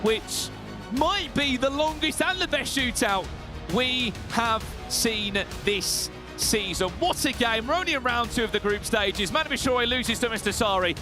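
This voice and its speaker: High-pitched shouting esports caster, a man. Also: moderate pace, over music. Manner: excitable, shouting at big moments. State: losing his voice. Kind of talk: play-by-play commentary.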